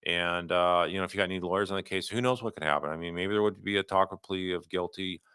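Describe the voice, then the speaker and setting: Deep-voiced man giving off-the-cuff legal commentary. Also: fairly even intonation, quick pace, sharp tone, clear, resonant tone, no echo. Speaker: host of a legal commentary livestream. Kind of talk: unscripted legal commentary answering viewer questions.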